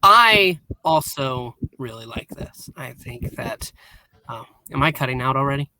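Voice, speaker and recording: A man's voice: mid-range voice, animated, a little slow, pushed and bright, a little hiss or hum, rough recording. A man chatting casually and animatedly as a panellist on a review show.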